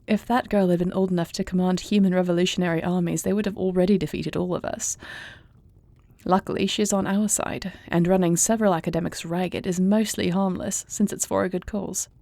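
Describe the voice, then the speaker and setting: A thoughtful warrior princess played by a woman speaks with formal, eloquent care in a fantasy audio drama. Her voice is mellow and slightly low, with a creaky edge. She sounds earnest and devoted, as if confiding in her spouse. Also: fairly even intonation, no echo. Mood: tender, thoughtful. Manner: formal, measured, eloquent diction. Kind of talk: intimate fantasy audio roleplay acting.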